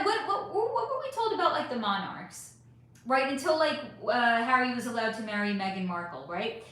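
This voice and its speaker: A woman with a slightly high, somewhat hoarse voice runs a casual live stream, answering her chat in a rambling, emphatic way and swearing now and then. The sound is drenched in strong room echo. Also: a bit bright. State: voice going hoarse. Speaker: psychic channel host reading live chat. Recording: heavily echoey room.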